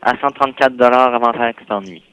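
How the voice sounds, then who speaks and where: A man's voice: medium pitch, brisk, fairly bright tone. A man with a Quebec French accent working as a hotel reservation clerk, speaking politely and in a businesslike way on a phone call. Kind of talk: customer service phone call. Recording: phone line with clear noise and clicks.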